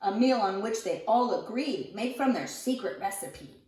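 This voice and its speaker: A woman reading a rhyming children's picture book aloud in an expressive, theatrical storyteller's manner. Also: medium pitch, normal speed. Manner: theatrical, expressive, with acted sighs and emphasis. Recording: roomy echo, distant microphone.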